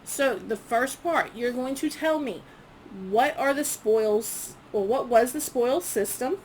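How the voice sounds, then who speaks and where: A woman's voice: fairly high pitch, slow pace, a little mellow, slight background noise, poor audio. A woman history teacher reading quiz questions aloud to students, enunciating each prompt item by item in an instructional way.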